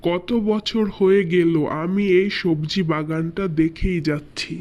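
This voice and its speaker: Slow-talking, earnest village vegetable seller played by an actor. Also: medium pitch, steady tone, a little mellow, lightly acted. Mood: wistful. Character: poor hardworking male village vegetable seller, earnest and hopeful. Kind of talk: cartoon character dialogue.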